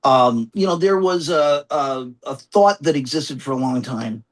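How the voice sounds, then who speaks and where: A man speaking as a guest author in a conversational interview, reflective and halting, often circling back to repeat phrases. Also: mid-range voice, animated.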